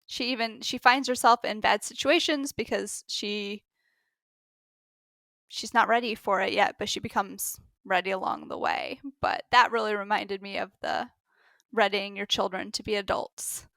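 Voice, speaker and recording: A woman on a book podcast panel, chatting casually and haltingly as she thinks aloud. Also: medium pitch, very animated, gravelly, pushed and bright, no room sound, studio quality.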